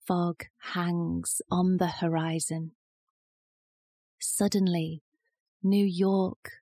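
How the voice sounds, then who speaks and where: A woman reciting poetry in a measured, deliberate style. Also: lowish voice, gravelly, darkish tone, husky, no echo. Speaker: poetry reader.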